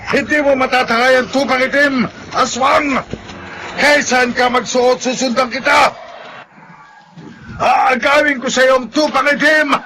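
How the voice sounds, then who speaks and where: An actor playing a vengeful, desperate manananggal in human form, with melodramatic delivery, in a horror radio drama. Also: male voice, steady tone, a little slow, pushed and bright, some chatter behind, slight background noise, full character voice, low-quality recording. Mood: furious. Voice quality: high, gravelly. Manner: melodramatic, declamatory.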